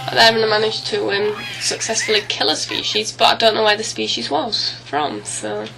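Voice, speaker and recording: A woman hosts a let's play in a noticeably creaky voice, still getting over a cold. Her commentary is casual and rambling, apologetic and self-correcting. Music plays clearly behind her. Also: on the high side, moderate pace, poor audio. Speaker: gaming video host.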